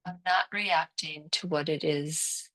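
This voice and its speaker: A woman leads a guided relaxation as a yoga nidra teacher. Her voice sits slightly low and moves very slowly. She gives calm, soothing instructions in short phrases, leaving long pauses between them. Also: low-quality recording.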